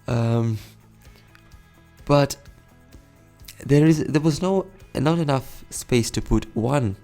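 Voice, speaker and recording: A man's voice: mid-range voice, takes it slowly, darkish tone, faint music behind. A young man giving casual, rambling commentary over a city-building game, correcting himself and chuckling often. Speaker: let's play host.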